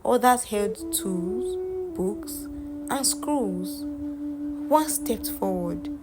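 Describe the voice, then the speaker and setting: Slow, highly animated woman storyteller. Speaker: storyteller narrator. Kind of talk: scripted folktale narration. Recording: loud music under the voice. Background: music.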